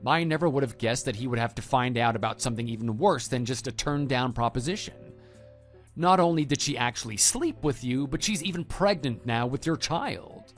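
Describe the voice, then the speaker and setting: Male actor as stern former teacher, audio drama, matter-of-fact, mid-pitched, expressive intonation, background music. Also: a little fast, close to an everyday voice. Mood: firm, reproving. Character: stern, principled male former homeroom teacher, calm and reproving. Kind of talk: scripted audio drama dialogue and narration.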